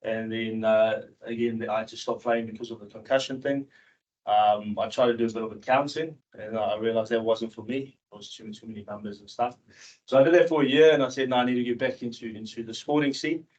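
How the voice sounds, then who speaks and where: A man from South Africa who moved to New Zealand in his teens, giving a candid, informal personal talk to an audience in a halting, repetitive way. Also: medium pitch, fairly even intonation, darkish tone. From South Africa, moved to New Zealand in his early teens. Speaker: seminar speaker, cricket coach and former professional cricketer. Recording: dull, muffled seminar recording.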